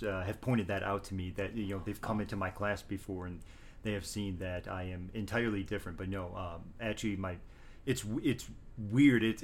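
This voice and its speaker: A teacher speaking in an interview, measured and thoughtful, often restarting his sentences. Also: fairly low pitch. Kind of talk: interview conversation.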